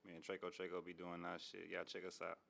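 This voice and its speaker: A man from Louisiana talks as an up-and-coming guest artist. His voice is very deep and flat. He speaks slowly and low-key, sometimes stumbling over his words. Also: creaky. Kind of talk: casual interview chat.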